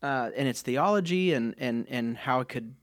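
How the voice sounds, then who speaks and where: A man's voice: mid-range voice, expressive, moderate pace. A man who sings tenor, asking questions in a casual podcast conversation. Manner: easygoing, frequent short agreements.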